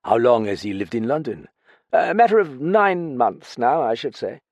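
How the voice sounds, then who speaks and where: Drunk pompous ex-officer, voiced by a male reader: high, blustering, husky and grand. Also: big pitch swings, moderate pace, a little mellow, dry recording, lo-fi sound. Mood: vague. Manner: slurred dignity, giggling, blustering. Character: drunk pompous ex-officer secretary, lanky, vague.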